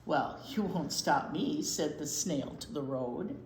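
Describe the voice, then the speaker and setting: Slow, expressive woman storytime reader, roomy sound. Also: deep voice, slight background noise. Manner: expressive, asking the children questions. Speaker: children's storytime teacher and reader. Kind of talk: picture-book read-aloud for young children. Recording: roomy, echoing indoor sound.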